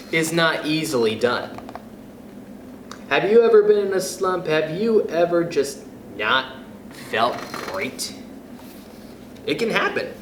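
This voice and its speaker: Young man, children's storyteller, lively sing-song pitch, slow unhurried pace, echoey room with faint hum. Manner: gentle, animated read-aloud with soft chuckles and asides. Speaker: youth club storyteller. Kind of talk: reading a picture book aloud to children.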